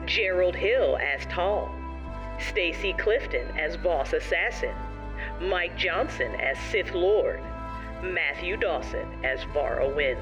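An announcer reads the scripted closing credits of an audio drama. Music plays clearly behind, and the voice is slightly away from the mic. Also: mid-range voice, far from the mic, dull audio.

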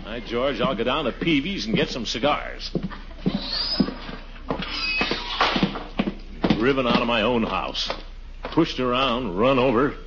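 An actor playing a blustering, self-pitying middle-aged commissioner and household uncle in 1940s radio comedy style, muttering and sputtering. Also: male voice, medium pitch, normal speed, close to an everyday voice. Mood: grumbling. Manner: pompous, muttering asides and sputtering protests. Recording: muffled noisy 1940s radio recording.

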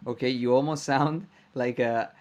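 A man's voice: medium pitch, steady tone, moderate pace, darkish tone. Enthusiastic male podcast host. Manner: enthusiastic, repeats guest's words back. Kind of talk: casual interview chat.

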